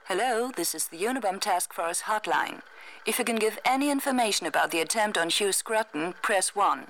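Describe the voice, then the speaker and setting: A woman's voice: medium pitch, expressive, moderate pace, a bit bright, slight background noise, rough recording. A woman playing an official recorded hotline announcer, reading phone menu options in a formal, clipped way. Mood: neutral, official.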